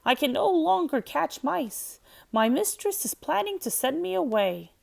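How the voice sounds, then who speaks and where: Woman as a high-voiced, plaintive old storybook cat. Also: sing-song, unhurried, vocal fry, a little mellow, heavily acted. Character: very old, sad female cat.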